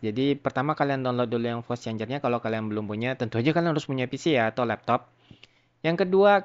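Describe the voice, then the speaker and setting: Male YouTuber, medium pitch, informal tutorial delivery, chatty and slangy, talking straight to viewers. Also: fairly bright tone, clean tone, highs cut off. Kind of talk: casual software tutorial.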